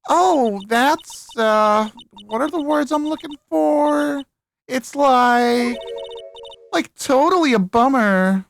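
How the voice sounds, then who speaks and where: A clueless young valley girl from a video game. Her voice sits high and swings airily as she hesitates through slow, filler-stuffed phrases. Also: animated, vocal fry, slight background noise, full character voice. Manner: hesitant, filler-laden, slow-witted drawl. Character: ditzy, dim young valley-girl type woman.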